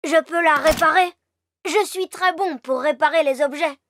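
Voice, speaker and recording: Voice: high-pitched, moderate pace, noisy background, natural voice. An actor voicing a confident, helpful young elf boy in a French cartoon dub, boyish and matter-of-fact. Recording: music behind.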